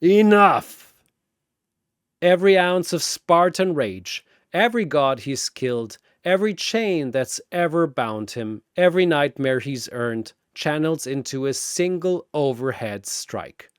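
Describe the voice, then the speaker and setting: A man narrating a scripted battle story in a theatrical way, pausing for emphasis. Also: on the high side, animated, smooth, dry recording, studio quality.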